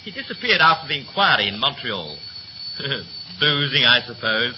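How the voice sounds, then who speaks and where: An actor in a 1930s radio play as a young third officer, brisk and slangy, chatty yet scornful and resentful. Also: male voice, medium pitch, sing-song, lightly acted. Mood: bitter, indignant. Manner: brisk, breezy, clipped slangy speech. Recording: muffled, hissy 1930s radio recording.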